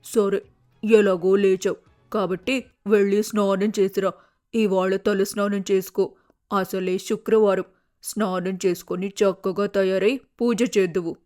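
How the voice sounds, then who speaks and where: A woman's voice: medium pitch, steady tone, measured pace, darkish tone, soft background music, lightly acted. A woman voicing a stern, practical mother bird in a cartoon moral story, nagging and scolding in a matter-of-fact way. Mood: instructing.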